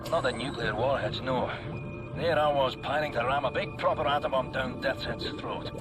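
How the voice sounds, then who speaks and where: A man with a Scottish accent playing a gruff, sardonic, foul-mouthed resistance pilot in a video game. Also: mid-range voice, moderate pace, over music, natural voice. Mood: grim, sardonic. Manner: sarcastic, colourful swearing. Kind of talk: video game character acting.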